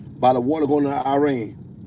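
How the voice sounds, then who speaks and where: A man with a deep voice preaching on a livestream. He reads scripture slowly, stumbling and repeating words. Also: steady tone, mellow, slight background noise. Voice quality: self-described deep voice. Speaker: self-styled prophet hosting a livestream.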